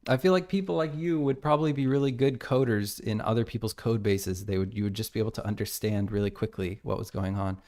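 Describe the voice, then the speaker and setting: A man's voice: lowish voice, fast talker, hardly any pauses, darkish tone. An American man streaming his game development live, thinking aloud and reading lines of code as he types. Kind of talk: casual live coding stream.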